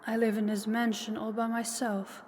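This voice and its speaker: Woman acting as old powerful vampire lady, calm n menacing, looks down on you, big reverby hall, bit of noise. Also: fairly high pitch, close to an everyday voice. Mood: weary disdain. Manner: slow, deliberate, condescending, taunting. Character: ancient, powerful female vampire, calmly menacing and disdainful. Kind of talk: intimate scripted audio roleplay addressed to listener. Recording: long hall reverb, faint background noise.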